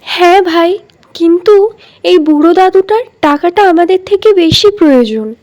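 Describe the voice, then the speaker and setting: A woman's voice: very high-pitched, normal speed, darkish tone, voice pushed up. A woman voicing a sensible, kind village girl, an earnest and cautioning older sister in a cartoon. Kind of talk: cartoon dialogue.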